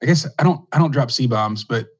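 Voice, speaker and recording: A man's voice: mid-range voice, a little fast, breathy edge. A man hosting an interview podcast, laid-back and joking with his guest. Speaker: podcast host. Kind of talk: casual interview chat.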